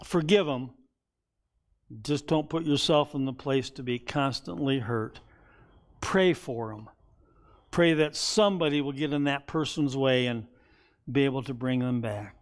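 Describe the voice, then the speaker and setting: A man's voice: medium pitch, measured pace, long pauses. An older man preaching a conversational sermon from behind a pulpit in a church sanctuary, acting out quoted voices as he goes. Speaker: pastor.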